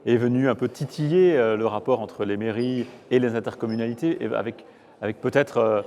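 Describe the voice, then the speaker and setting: A man's voice: mid-range voice, fairly quick, a little mellow. A man interviewing, feeling his way through long questions with hesitant, roundabout phrasing.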